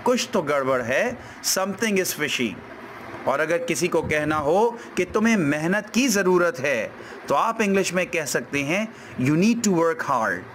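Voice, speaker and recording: Slow, deliberate man teaching. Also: fairly high pitch, big pitch swings, fairly bright tone, a little hiss or hum. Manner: slow, deliberate, repeats each example sentence. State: sore throat. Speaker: English language teacher. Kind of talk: spoken language lesson.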